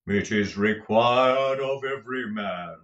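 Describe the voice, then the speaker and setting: Storybook chained ghost, male, voiced by a man, slow, grave, mournful, words drawn out. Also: lowish voice, a bit bright, muffled recording. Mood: solemn.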